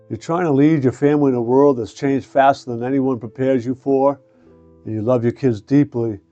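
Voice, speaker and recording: An older man speaking as a show host and coach, with an earnest, deliberate way of talking. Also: medium pitch, mellow, muffled recording. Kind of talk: scripted promo and introduction, then casual interviewing.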